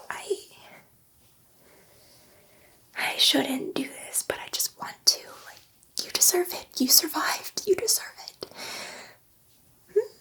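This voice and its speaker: A woman playing a gentle, nervous alien scientist in an ASMR sci-fi roleplay, whispering softly in a hesitant, rambling, confiding way. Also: high voice, full character voice. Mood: nervous, eager. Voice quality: soft breathy whisper. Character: gentle, nervous, chatty female alien scientist, friendly to humans.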